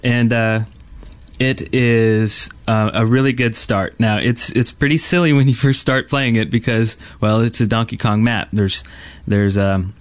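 A man's voice: mid-range voice, steady tone, a little slow, dry recording. A man co-hosting a gaming podcast, talking casually and with enthusiasm about what he describes. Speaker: podcast co-host.

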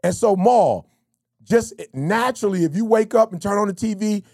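Gravelly fifty-something man, animated. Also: high-pitched, normal speed, no room sound, studio quality. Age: 50s. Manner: animated, storytelling, slangy with bro and you feel me. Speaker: podcast host. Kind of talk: casual motivational chat.

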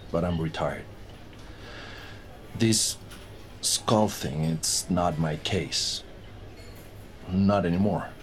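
An actor playing a weary, haunted retired detective in his sixties, talking plainly and haltingly in an audio drama. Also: deep voice, a little hiss or hum. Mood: weary, reflective. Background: music.

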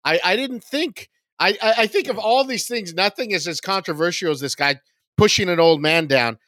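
Guy comedian on a podcast, slightly high bright voice, talking politics casually, keeps restarting, dry sound. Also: moderate pace, no echo.

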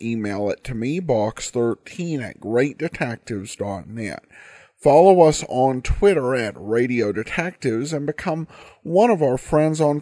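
A man's voice: medium pitch, darkish tone, dry recording, clean studio sound. A man from Idaho hosting a podcast, giving a friendly, promotional introduction. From Idaho, USA. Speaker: podcast host.

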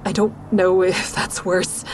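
An actress playing a wary, anxious woman who narrates an audio drama in the first person, measured and confiding. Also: medium pitch, level tone, background noise, close to an everyday voice. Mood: tense, measured.

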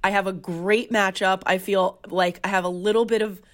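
A woman's voice: mid-range voice, fairly even intonation, normal speed. Nasal-voiced woman with a head cold. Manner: upbeat, laughs easily. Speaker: podcast co-host. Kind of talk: casual chat.

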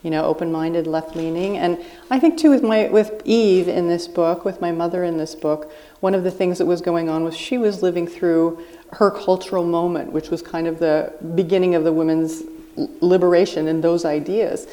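A woman's voice: fairly low pitch, steady tone, moderate pace, dark tone. A woman in her fifties from South Dakota, a guest author answering earnestly and reflectively at a live onstage interview. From South Dakota, USA. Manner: earnest, reflective, thinking aloud. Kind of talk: interview on stage. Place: stage at a live book festival event.

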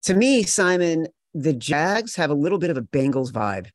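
A man's voice: fairly high pitch, sing-song, moderate pace, no room sound. A man co-hosting a sports betting show, talking in an emphatic, playful way straight to his partner. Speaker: podcast co-host.

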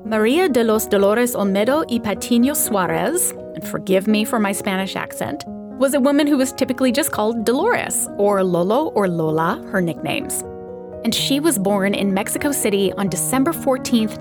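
A woman's voice: mid-range voice, expressive, moderate pace, over music. A woman hosting an art history podcast, reading a scripted narration in a conversational way with wry asides. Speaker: podcast host.

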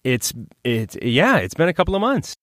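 A man's voice: fairly high pitch, very animated, moderate pace, fairly bright tone, no echo, clean studio sound. A man co-hosting a podcast over a video call, with easygoing, laid-back banter. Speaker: podcast co-host. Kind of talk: casual chat on a video call.

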